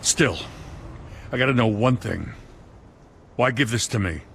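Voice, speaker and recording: A man playing a weary, honest middle-aged police lieutenant in a dramatic video game, blunt and plain-spoken with a gravelly voice. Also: mid-range voice, expressive, a little slow, a bit bright, a little hiss or hum. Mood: weary, grateful.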